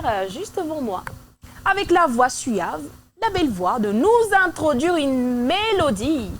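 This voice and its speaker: Une femme à l'togolais anime une émission télévisée pour la jeunesse chrétienne en studio et relance ses invités avec entrain. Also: female voice, high voice, slight background noise. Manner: lively and engaging, prompts guests. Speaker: TV show host. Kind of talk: hosting a church youth TV program.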